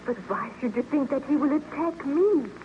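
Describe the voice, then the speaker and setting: An actress playing a devoted, anxious young sweetheart in an old-time radio drama, earnest and questioning in a melodramatic style. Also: female voice, high-pitched, lively intonation, normal speed. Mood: alarmed, pleading. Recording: noisy, muffled old-time radio recording.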